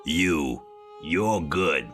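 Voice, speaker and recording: An actor playing a gruff, weary but loyal cab driver in a cartoon adventure game, grudging and exaggerated, with music underneath. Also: male voice, very deep voice, expressive, very slow, fairly bright tone, exaggerated voice. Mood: flustered, impressed.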